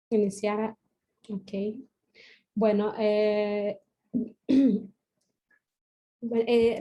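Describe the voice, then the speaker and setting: A woman's voice: fairly high pitch, warm tone. A woman from Brazil with a Portuguese accent, giving an academic webinar talk over a video call, hesitant with many fillers. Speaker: guest lecturer.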